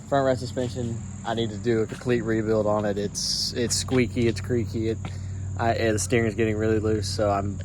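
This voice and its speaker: A man chatting casually through a DIY truck job outdoors, talking in a rambling, folksy way and restarting his phrases as he works, recorded on a handheld camera. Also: mid-range voice. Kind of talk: casual how-to vlog. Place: outdoors beside a truck, crickets around. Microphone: handheld camera, no tripod. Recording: noisy outdoor recording with crickets.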